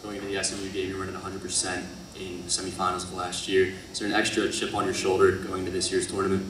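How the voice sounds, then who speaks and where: A man's voice: sits low, completely flat delivery, quick pace, a bit bright. A man working as a reporter, asking athletes questions at a press conference. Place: press conference room, indoors. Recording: echoey room, far from mic, noisy.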